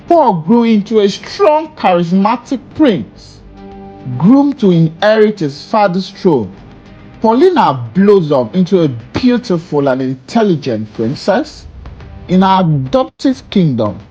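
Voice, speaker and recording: A storyteller giving a scripted folktale narration, slow and dramatic, with swooping emphasis on key words. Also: mid-range voice, darkish tone, slightly rough, dull audio.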